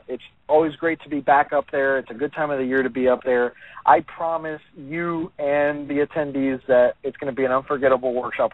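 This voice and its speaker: An upbeat, energetic American man speaking conversationally as a guest in a radio interview. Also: mid-range voice, no room sound, phone-quality sound. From Miami, United States. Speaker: entrepreneur and author, show guest.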